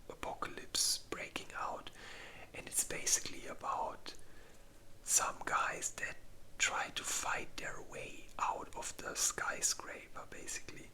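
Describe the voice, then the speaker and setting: A breathy, unvoiced whisper in a casual ASMR style, hesitant and informal, giving opinions close to the microphone. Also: pushed and bright. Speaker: ASMR creator reviewing zombie movies.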